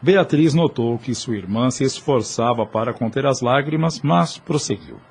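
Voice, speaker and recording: A man's voice: medium pitch, expressive, normal speed, vocal fry, slightly rough. A man narrating a scripted audio drama in a formal literary style.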